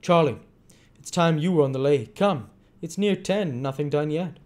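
A man's voice: a little high, normal speed, darkish tone, pitched above the performer's own voice, close to an everyday voice. A man voicing a sly, wheedling old crime boss with a coaxing, falsely affectionate manner in a storybook reading. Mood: urging. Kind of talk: acted dialogue in a classic novel reading.

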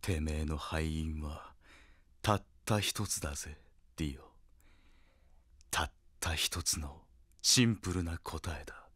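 An actor playing a stoic, gruff young tough hero in a Japanese audio drama, terse, deadpan and menacing. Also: male voice, rumbling low, measured pace, long pauses, full character voice. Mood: cold menace. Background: dramatic music.

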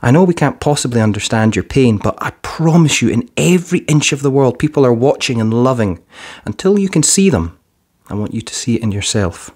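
A narrator puts on a kind little superhero girl from a storybook. She is sincere and eager, with pitch that leaps up and down. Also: big pitch swings, brisk, darkish tone, slightly rough. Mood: earnest, comforting. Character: earnest, kind five-year-old superhero girl.

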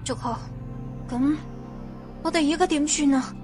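Young female fantasy traveller, played by a woman, anxious, higher-pitched, music underneath. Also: unhurried. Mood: worried, lost. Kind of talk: fantasy audio story dialogue. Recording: music behind the voice.

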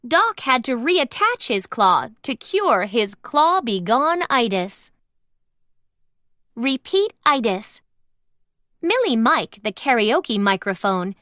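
A woman's voice: a little high, fairly bright tone, no echo, studio quality. A woman narrating a children's read-aloud storybook, expressively sounding out each phrase.

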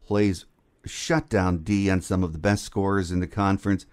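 Guy hosting a sports podcast, lowish voice, chatting through questions for a guest. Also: steady tone, normal speed, darkish tone. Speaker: podcast host, interviewer. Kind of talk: conversational sports interview.

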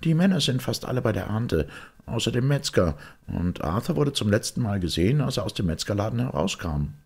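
A man acts out a puzzled, self-assured doctor in a detective audiobook. The voice is raised well above the reader's own, husky and swooping, with a deliberate, explanatory way of speaking. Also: lowish voice, very animated, voice pushed up, heavily acted. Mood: puzzled, insistent.

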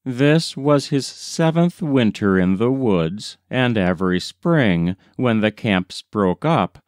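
A man reading a short story aloud as scripted literary narration, in a measured, deliberate storytelling manner. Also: fairly low pitch, lively intonation, darkish tone, no echo, clean studio sound. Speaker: audiobook reader.

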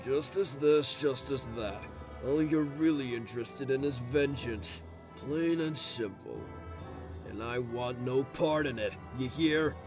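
A weary skeleton older brother acted by a man in a cartoon dub, unhurried and dryly sarcastic, with a defiant edge. Faint music sits behind him. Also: medium pitch, natural voice. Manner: sarcastic, casual, accusing. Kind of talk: comic dub voice acting.

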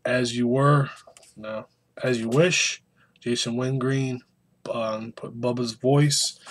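A man's voice: medium pitch, a little hiss or hum. A man in his thirties with a North American accent, chatting casually to camera in a rambling, self-deprecating way. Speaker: vlogger showing autograph mail. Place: small indoor room full of collectibles.

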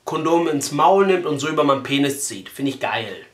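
A man's voice: on the high side, lively intonation, fairly quick, reverberant. A man in his twenties talking casually as a prank caller, brash and crude, with a mock-earnest colloquial way of speaking. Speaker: prank call host. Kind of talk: casual prank phone call. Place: small living room, indoors.

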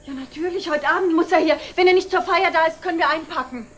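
Flustered, high-voiced woman. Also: quick pace, a bit bright. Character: flustered, excitable woman in a family crisis. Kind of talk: 1960s German TV drama acting. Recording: hissy old 1960s TV soundtrack.

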